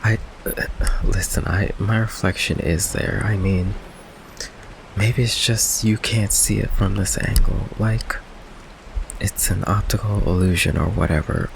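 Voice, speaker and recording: Gravelly, low-voiced nervous vampire boyfriend played by a man. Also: background noise. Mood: defensive, flustered. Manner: stammering, halting, nervous chuckles, evasive. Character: nervous, affectionate goth vampire boyfriend, evasive young man. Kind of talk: ASMR roleplay, intimate one-sided conversation. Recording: rain ambience behind close voice.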